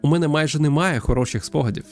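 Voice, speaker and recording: Man playing dead young girl, visual novel character, bitter, distrustful, guarded, raised pitch, music behind. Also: fast talker, close to an everyday voice.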